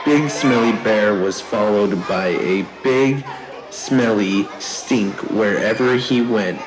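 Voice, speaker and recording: A storyteller narrating a scripted children's tale in a deliberate, theatrical way. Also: mid-range voice, crowd noise, rough recording. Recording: live show with audience noise.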